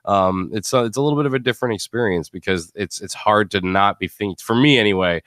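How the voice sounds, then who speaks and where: A man's voice: fairly low pitch, quick pace, fairly bright tone, no echo, clean studio sound. A man hosting a casual podcast interview in a rambling, conversational way, given to chuckling. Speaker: host.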